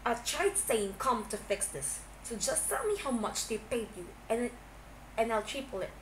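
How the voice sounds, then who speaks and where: Brief: woman playing young male executive in audio fan fiction; cold, gruff, curt, accusing; noisy home recording. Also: fairly high pitch, sing-song, normal speed, reverberant. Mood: cold, demanding. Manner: curt, demanding. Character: cold, suspicious young businessman, angry and accusing. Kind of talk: dramatised fan fiction reading.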